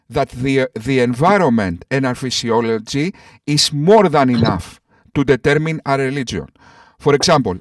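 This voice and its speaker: A man's voice: on the high side, no room sound, clean studio sound, recorded from a distance. Stammering man arguing over voice chat. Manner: repetitive, stammering, addresses others as sir. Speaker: atheist debater. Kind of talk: online voice-chat debate. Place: voice chat call.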